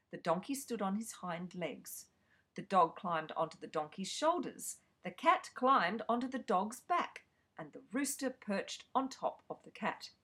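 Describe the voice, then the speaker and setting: A woman reading a children's fairy tale aloud, slow and expressive. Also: fairly low pitch, breathy edge. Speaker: storyteller.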